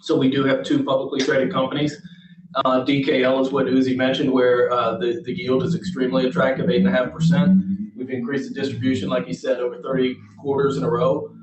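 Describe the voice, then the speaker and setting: A man, a company executive, explaining business points in a measured way during an investor interview. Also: medium pitch, level tone, background noise. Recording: distant echoey call audio.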